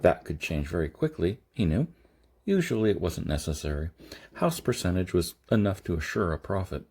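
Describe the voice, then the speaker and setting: A man narrates a science fiction story in a low, husky voice. His intonation moves widely up and down as he reads. The delivery is a little halting, like an amateur audiobook reader working through the text. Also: big pitch swings, a little mellow. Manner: halting, stumbles and rereads phrases.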